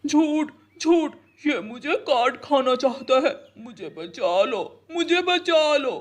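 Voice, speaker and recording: A man voicing an earnest, emphatic male crow in a children's cartoon story, with a husky voice. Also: very high-pitched, lively intonation, slow pace, exaggerated voice. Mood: desperate pleading. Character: Kalu, pleading loyal male crow, a cartoon bird.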